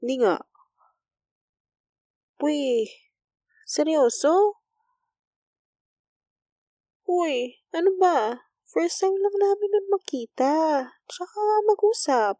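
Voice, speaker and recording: Woman playing a slow, gentle Filipino school nurse, teasing. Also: very high-pitched, lively intonation, no room sound, exaggerated voice. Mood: playful, teasing. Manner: soft, intimate, teasing, coaxing. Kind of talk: romantic ASMR roleplay.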